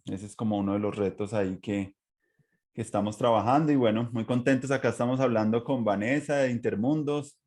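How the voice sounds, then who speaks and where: A man's voice: lowish voice, darkish tone. A man with a Colombian accent, hosting a podcast in a reflective, conversational interview style. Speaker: podcast host.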